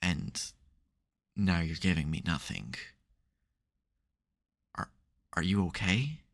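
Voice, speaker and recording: A man playing an exasperated but secretly caring supervillain in an intimate ASMR audio roleplay, wry and gravelly. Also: sits low, animated, takes it slowly, full character voice. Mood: concerned, bossy.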